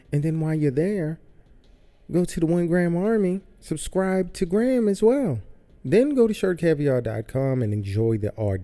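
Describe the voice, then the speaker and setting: A man's voice: a little high, mellow. A man hosting his own podcast, talking loosely in a rambling way and often repeating himself. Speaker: podcast host. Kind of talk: casual solo podcast monologue. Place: home studio.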